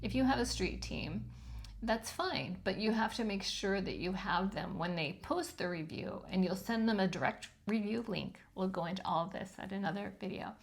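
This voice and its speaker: A woman over fifty with a high-pitched voice and a gravelly fry at the ends of phrases. She talks in a frank, chatty way as she teaches, dropping ums along the way. Her pitch sits in the middle range for a woman. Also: normal speed. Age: 50s or older. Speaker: channel host teaching self-publishing. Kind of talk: casual instructional talk to camera.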